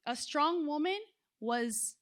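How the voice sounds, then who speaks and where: Woman conference speaker from South Florida, lively, engaging, rather high voice. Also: takes it slowly. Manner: animated, engages audience with questions. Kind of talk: motivational keynote talk.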